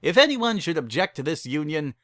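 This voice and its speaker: A man acts out a middle-aged storybook pony mare who runs weddings, a little tipsy and breezy. The voice is bright and lifted, close and dry, with brisk, casual sales patter. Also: a little high, sing-song, no echo, voice pushed up. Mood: casual officiating.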